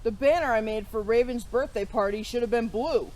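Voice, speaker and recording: A woman doing a weepy, gentle storybook friend. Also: fairly high pitch, fairly quick, close to an everyday voice. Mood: tearful. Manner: weepy, soft and sorrowful. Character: tearful, gentle female friend.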